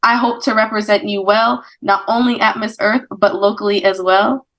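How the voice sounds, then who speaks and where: A woman's voice: medium pitch, steady tone, normal speed, a bit bright. Composed woman from the Virgin Islands. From United States Virgin Islands. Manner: polished, composed. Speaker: beauty pageant contestant. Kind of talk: radio interview answer.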